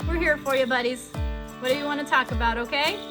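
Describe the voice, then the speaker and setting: A woman's voice: sits high, fast, over music, lightly acted. A woman voicing a friendly, encouraging puppet host, chirpy and simple in wording, for a children's puppet show. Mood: friendly, upbeat. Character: friendly, encouraging puppet buddy hosting a kids' club.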